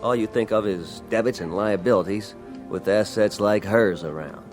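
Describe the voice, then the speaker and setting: An actor playing a cocky, teasing, flirtatious office braggart in a radio drama, brash and jokey. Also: male voice, fairly low pitch, moderate pace, darkish tone, soft background music, close to an everyday voice.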